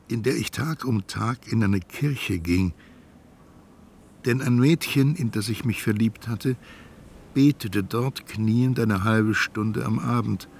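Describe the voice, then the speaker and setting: A man playing a solemnly resigned, doomed fat man in a literary radio drama, speaking in a deliberate, formal, carefully articulated way. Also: lowish voice, slight background noise.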